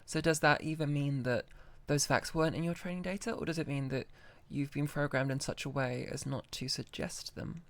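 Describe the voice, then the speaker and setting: A woman casually questioning a conversation partner as an interviewer, polite, curious and gently persistent. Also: sits low, moderate pace, dry recording. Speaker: interviewer testing an AI voice.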